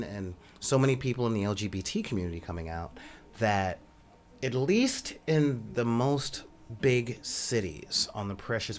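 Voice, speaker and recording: A soft-spoken man hosting a podcast, talking casually in a rambling monologue full of ums and asides. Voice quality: says he has a high voice. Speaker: podcast host.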